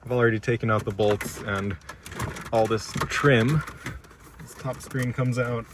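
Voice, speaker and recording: A man with a mid-range voice presents a casual DIY walkthrough. He explains methodically, one step at a time, while he works. He is recorded inside a car, with clear noise behind his voice. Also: mid-range voice, steady tone, unhurried.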